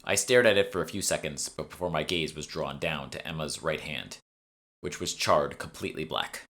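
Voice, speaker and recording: A man's voice: rumbling low, lively intonation, normal speed, a bit bright. A man reading fantasy fiction as a scripted audiobook narration into a close microphone. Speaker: author reading own audiobook. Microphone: close USB desktop microphone.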